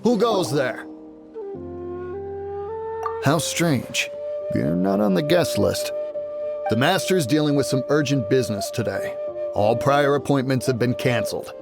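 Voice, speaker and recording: An officious but nervous gatekeeper in a game. His mid-pitched voice is highly animated and formal, quick to fluster, with loud music underneath. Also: vocal fry. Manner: formal, stammering when pressed. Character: servile male gatekeeper guard, officious but easily flustered. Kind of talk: dramatic video game acting.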